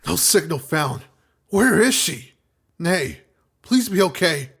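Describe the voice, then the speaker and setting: Audio drama crewman: lightish high male pitch, anxious, earnest, caring sci-fi role. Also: very animated, slow, fairly bright tone, breathy edge, heavily acted. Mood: worried, urgent. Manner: earnest, worried.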